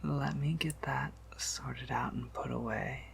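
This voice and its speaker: A man voicing a gentle, wry vampire apothecary in a breathy ASMR whisper, musing softly and reassuringly. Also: medium pitch, steady tone, slow pace, a little hiss or hum, exaggerated voice. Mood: mildly apologetic. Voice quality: breathy whisper with creaky edges. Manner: gentle, reassuring, hesitant musing with soft hums. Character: soft-spoken, patient, wry male vampire apothecary, collector of oddities.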